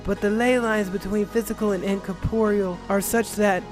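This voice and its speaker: A high, girlish young priestess, acted out by a male narrator, speaks in formal, wordy sentences. She is earnest, polite and stubborn, like a storybook heroine, with music clearly playing underneath. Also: fairly even intonation, moderate pace, close to an everyday voice, low-quality recording. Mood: lecturing. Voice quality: high-pitched put-on girlish voice.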